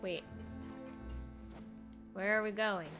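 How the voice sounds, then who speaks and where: A woman's voice: medium pitch, takes it slowly, a bit bright. A woman game streamer casually reacting as she plays. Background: game music.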